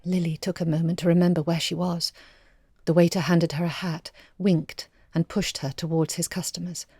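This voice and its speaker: A woman narrating a literary short story expressively, in measured, evocative phrases with hushed moments. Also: lowish voice, flat delivery, husky, no room sound, clean studio sound. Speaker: story reader.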